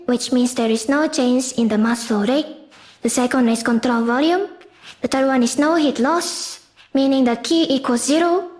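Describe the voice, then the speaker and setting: A woman's voice: on the high side, a little mellow. A woman reading a scripted educational explainer in a formal, step-by-step manner, as the presenter of a class project.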